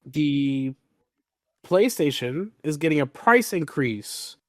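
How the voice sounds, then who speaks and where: A man's voice: fairly high pitch, animated, slow, no room sound, clean studio sound. A man hosting a podcast, talking through gaming news casually and emphatically, repeating words to stress a point. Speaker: podcast host.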